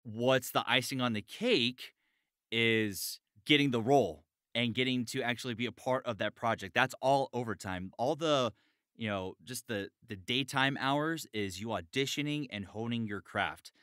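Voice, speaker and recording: A man's voice: mid-range voice, normal speed, fairly bright tone, clean tone, dry recording, clean studio sound. A man talking in a casual podcast chat, earnest and emphatic, repeating key phrases. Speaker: voice actor, podcast guest.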